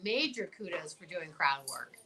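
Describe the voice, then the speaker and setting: A woman's voice: on the low side, lively intonation, normal speed, bright, forceful tone, a little hiss or hum. A woman talk show host chatting casually, emphatic and opinionated, often posing questions. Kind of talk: casual chat.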